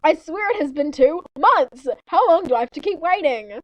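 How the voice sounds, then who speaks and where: A woman's voice: high-pitched, animated, moderate pace, dry recording, poor audio. A woman voicing an angry, intimidating, secretive male wolf alpha from an animal fan story. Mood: annoyed.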